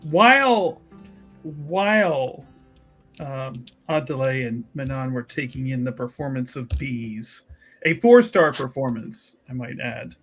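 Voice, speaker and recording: A man playing a tabletop roleplaying game, talking casually and playfully in halting, roundabout phrases. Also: mid-range voice, expressive, a bit bright, soft background music.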